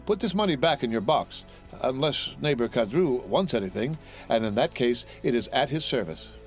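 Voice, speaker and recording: A man's voice: mid-range voice, animated, normal speed, soft background music, close to an everyday voice. A man voicing an earnest, devoted young sailor in a storybook, speaking with ardent courtesy. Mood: courteous, guarded.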